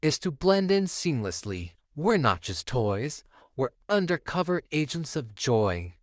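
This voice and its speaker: Man doing a storybook space-ranger toy, solemn, declamatory, heroic, slow, dry recording. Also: on the high side, sing-song, no echo, voice pushed up. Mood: declaring.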